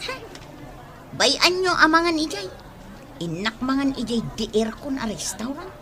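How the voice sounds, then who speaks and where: An actress playing a boastful, well-off wife in a radio drama who talks quickly and proudly. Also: female voice, high voice, very animated, background noise. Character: showy well-off wife who loves fine dining.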